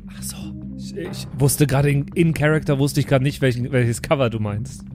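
A man's voice: medium pitch, fairly quick, music in the background. A man chatting casually as a player in an improvised role-playing audio drama. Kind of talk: casual improvised in-character chat.

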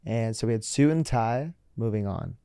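A young man hosts a casual music interview, upbeat and talkative, leaning on "like" as he goes. His tone is mellow and warm. The recording is dry and close to the mic. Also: on the low side, animated, moderate pace, vocal fry, clean tone, no room sound. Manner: upbeat, chatty, many likes. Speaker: podcast host.

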